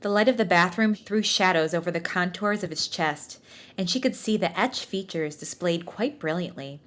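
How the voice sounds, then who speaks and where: A woman's voice: fairly low pitch, normal speed, fairly bright tone. A woman podcast co-host reading fan fiction aloud for comedy, with giggly, playfully theatrical delivery and casual banter.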